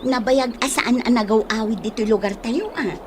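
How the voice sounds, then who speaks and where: An actress with an Ilocano accent playing a practical, nosy young best friend in a radio drama. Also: female voice, on the high side, normal speed, noisy background, lightly acted. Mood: practical, sympathetic.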